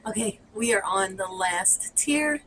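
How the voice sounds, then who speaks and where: A woman's voice: medium pitch, lively intonation, unhurried, very noisy. A woman talking informally to viewers as a gardening vlogger, thinking aloud through a hands-on demonstration.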